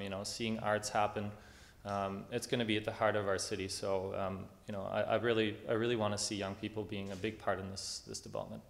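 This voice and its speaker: A young man from Germany addresses a public consultation meeting. His voice sits low and stays flat, and he speaks earnestly, pausing often on fillers. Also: gravelly. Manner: earnest, hesitant with many fillers.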